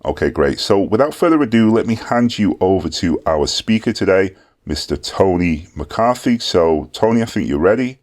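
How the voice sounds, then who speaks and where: A low-voiced man hosts a live webinar and casually hands over to the guest speaker. He talks loosely with plenty of fillers, his deep voice coming through a computer mic on a video call. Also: animated, normal speed, few pauses, a little mellow. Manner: casual, filler-heavy hand-off talk. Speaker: webinar host.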